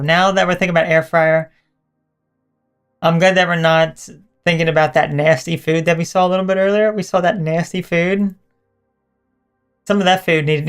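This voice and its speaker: A hoarse woman streamer chatting casually and teasingly with her viewers as she wraps up a live stream, often correcting herself mid-sentence. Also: on the low side. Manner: rambling, self-correcting, teasing chat toward viewers.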